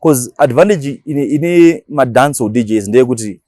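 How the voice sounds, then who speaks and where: A Shona-speaking man with a Zimbabwean accent, talking casually as an interview guest and explaining things in animated, slangy language with acted-out examples. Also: medium pitch, unhurried, dry recording, studio quality. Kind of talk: casual interview talk mixing Shona and English.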